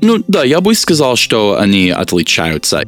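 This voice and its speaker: An American man, a teacher whose first language is English, answering interview questions in a halting way with many fillers. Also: fairly low pitch, wildly swooping pitch, a bit bright, soft background music. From United States. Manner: hesitant, many fillers, searches for words. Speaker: guest, teacher.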